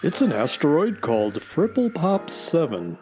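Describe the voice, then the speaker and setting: A woman voicing a sensible, loyal flying space horse in a children's radio adventure, measured and gently dramatic. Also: low voice, big pitch swings, soft background music. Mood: concerned.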